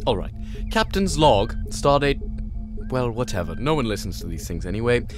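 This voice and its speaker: An actor playing a pompous, exasperated starship captain in a comic sci-fi audio drama, with a theatrical manner. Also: male voice, medium pitch, very animated, moderate pace, music in the background, close to an everyday voice. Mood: bored, officious.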